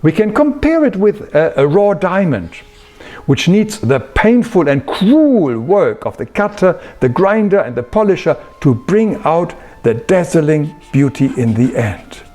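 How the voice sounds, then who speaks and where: A man delivers a spiritual lesson in a fairly high voice that rises and falls expressively. He is earnest and emphatic, setting out his points in measured phrases. Soft music accompanies him. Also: sing-song. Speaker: spiritual teacher. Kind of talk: explanatory spiritual talk.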